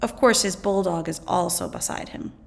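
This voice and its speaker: A woman's voice: fairly low pitch, huge pitch swings, normal speed, creaky. A woman reading educational material aloud as a teacher, in an instructional way that explains and poses questions. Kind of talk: scripted educational read-aloud narration.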